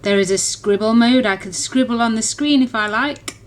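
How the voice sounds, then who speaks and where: A woman's voice: fairly high pitch, normal speed. A woman reviewer in a casual, chatty and enthusiastic demonstration, rambling along as she explains. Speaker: app reviewer.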